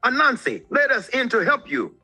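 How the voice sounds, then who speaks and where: A man voicing agitated, loud village neighbors shouting in a children's folktale. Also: extremely high pitch, very animated, normal speed, husky, no echo, faint music behind, pitched above the performer's own voice, exaggerated voice. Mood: urgent, yelling.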